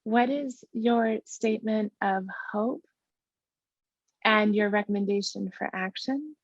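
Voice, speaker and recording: A woman's voice: medium pitch, takes it slowly, dry recording, muffled recording. A woman hosting an interview, asking questions in a polite, formal way. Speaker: interviewer, executive director.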